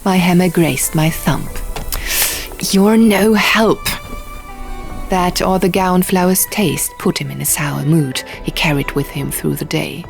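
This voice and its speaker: A woman's voice: sits low, level tone, moderate pace, vocal fry, few pauses. A woman reading scripted first-person fantasy fiction as an audiobook narrator. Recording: music behind. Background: music.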